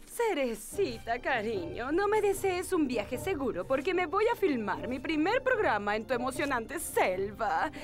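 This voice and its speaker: Reportera teatral y presumida. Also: female voice, high voice, very animated, measured pace, hardly any pauses. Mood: grandly dramatic. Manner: theatrical, pompous, gushing. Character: flamboyant, self-important celebrity reporter woman craving adventure. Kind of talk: animated cartoon dubbing. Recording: music behind the voice.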